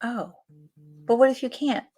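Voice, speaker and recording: A woman's voice: fairly high pitch, steady tone, normal speed, dark tone, slightly rough. A young woman co-hosting a podcast, telling stories in a chatty, laughing way. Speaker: podcast co-host. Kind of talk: casual chat.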